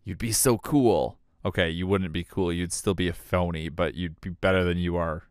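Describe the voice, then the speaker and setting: A man's voice: low voice, fairly even intonation, normal speed, clean tone, dry recording, clean studio sound. A man who streams games, reading a courtroom game aloud in a dramatic way. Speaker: game streamer voicing all characters. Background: game music.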